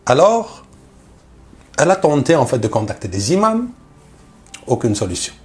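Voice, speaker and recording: A man's voice: mid-range voice, big pitch swings, normal speed. A man from Algeria, a missionary guest, telling his personal religious testimony in short phrases with rhetorical questions.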